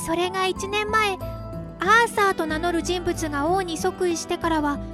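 A sweet young apprentice mage in an anime radio play. The voice is extremely high, polite and eager, over a clear music bed. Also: female voice, fairly even intonation, moderate pace. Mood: earnest. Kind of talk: Japanese anime-style radio drama acting.

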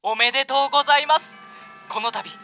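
A man's voice: extremely high pitch, brisk, sharp tone, soft background music, pitched above the performer's own voice, full character voice. A man voicing a mysterious, overly polite phone caller in an amateur radio drama. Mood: formal. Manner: formal, ceremonious.

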